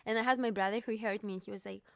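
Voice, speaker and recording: A woman's voice: mid-range voice, fairly even intonation, very fast, gravelly, no room sound, clean studio sound. A young woman from Cyprus with a Greek Cypriot accent, a pianist being interviewed, talking with many fillers and restarts. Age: young adult. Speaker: pianist guest. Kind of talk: podcast interview.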